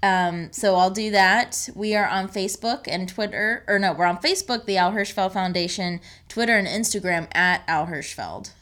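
A woman's voice: medium pitch, normal speed, few pauses, a bit bright. A woman co-hosting a podcast, speaking in an informal, chatty way. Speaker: podcast co-host. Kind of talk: casual chat.

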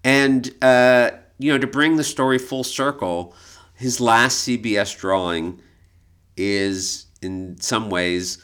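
A man co-hosting a podcast in casual conversation, explaining things in a measured, hesitant way. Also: mid-range voice, a bit bright, clear, resonant tone. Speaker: podcast co-host, art expert.

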